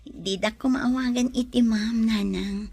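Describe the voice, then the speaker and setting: A woman playing a kind, devoted young bride-to-be in a radio drama, with a breathy, creaky voice. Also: on the high side, steady tone, a little slow, darkish tone. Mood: respectful, warm.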